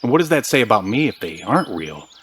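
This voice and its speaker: A man's voice: lowish voice, very animated, fast talker, fairly bright tone, a little hiss or hum. An actor playing an exasperated, down-on-his-luck podcaster in a naturalistic audio drama, talking in a defensive, slangy, emphatic way. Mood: weary, doubting. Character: exasperated, down-on-his-luck man, obsessed podcaster hunting lizard conspiracies.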